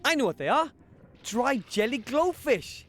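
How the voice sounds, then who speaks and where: A man's voice: extremely high pitch, very animated, a little slow, a bit bright, heavily acted. An actor playing a timid, bumbling young male gnome adventurer in a game, fumbling and stammering as he gropes about. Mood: puzzled. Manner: fumbling, hesitant, stammering when startled. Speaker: game character.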